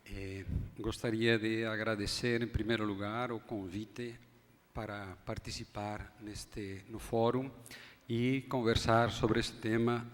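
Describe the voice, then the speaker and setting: A Spanish-born man with a Spanish accent, an academic speaker giving a measured, halting forum talk. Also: lowish voice. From Spain. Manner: measured, halting, restarts phrases. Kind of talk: formal lecture talk. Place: conference hall. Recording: long hall reverb.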